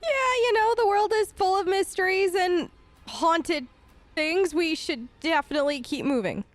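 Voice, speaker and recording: A woman's voice: extremely high pitch, measured pace. A woman streamer giving casual, playful in-character commentary on a fantasy game, chatting to companions and pets. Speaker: video game streamer role-playing her character.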